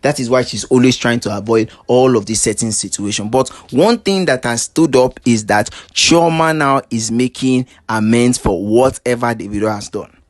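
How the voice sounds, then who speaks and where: A man with a mid-pitched voice presents celebrity gossip commentary as a channel host. He speaks in an emphatic, repetitive way, restating each point and posing rhetorical questions. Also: moderate pace, hardly any pauses.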